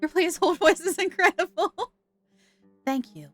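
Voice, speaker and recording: A woman's voice: very high voice, unhurried, dry recording, soft background music. A young woman streaming a game live, chatting casually and giggling with her viewers.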